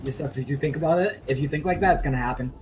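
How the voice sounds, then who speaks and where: A man streaming a game and chatting with teammates, excitable and swearing a lot, saying he is losing his voice. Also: on the high side, very fast, over music. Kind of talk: casual gaming chat with teammates.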